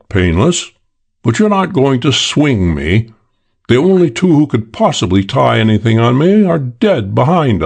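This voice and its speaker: A man's voice: medium pitch, vocal fry, a little mellow, slightly rough, full character voice. A man voicing a suave, boastful English con man in an audiobook, talking in a cool, self-assured drawl. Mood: cool, defiant. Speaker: character in an audiobook. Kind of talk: audiobook character voice.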